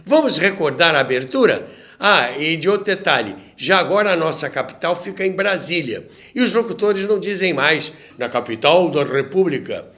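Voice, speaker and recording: A man's voice: a little high, very animated, normal speed, hardly any pauses, pushed and bright. A man with a Brazilian accent narrating a documentary in a conversational, storytelling way, with asides to the listener. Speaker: host and narrator of a radio history show.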